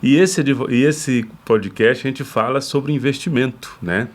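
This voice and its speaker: A man's voice: mid-range voice, a little slow. Brazilian male podcast host, casual interviewer, tag-question habit. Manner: chatty, frequent tag questions.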